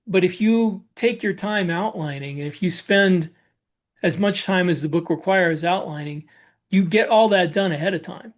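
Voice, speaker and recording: A male podcast co-host with a notably high voice. He explains things casually, pausing often and feeling carefully for the right word.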